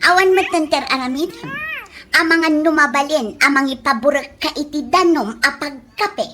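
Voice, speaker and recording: An actress playing a bossy young restaurant worker in a radio comedy drama, curt and commanding. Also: female voice, high voice, expressive, normal speed, pushed and bright, a little hiss or hum. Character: bossy young female restaurant worker ordering others around.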